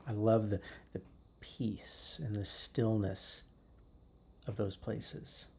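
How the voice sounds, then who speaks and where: A man preaching a sermon as pastor, in a thoughtful, unhurried way with frequent restarts. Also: lowish voice, fairly even intonation, gravelly, a little mellow, a little hiss or hum. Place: church sanctuary.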